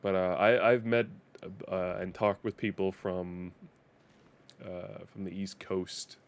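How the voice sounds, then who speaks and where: A man's voice: sits low, little pitch movement, slow, creaky, clean tone. A man from Denmark whose first language is Danish, speaking English with a Danish accent in casual live-stream gaming commentary, chatty, laughing often and repeating words as he restarts.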